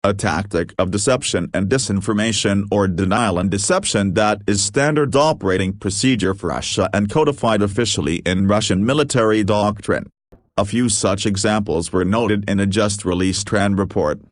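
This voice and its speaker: A man narrating a scripted news analysis in a steady, formal read. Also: lowish voice, moderate pace, no echo, clean studio sound.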